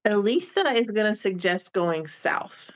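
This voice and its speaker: A woman's voice: mid-range voice, expressive, measured pace, lo-fi sound. A woman playing a tabletop roleplaying game, talking casually as she suggests what her character will do.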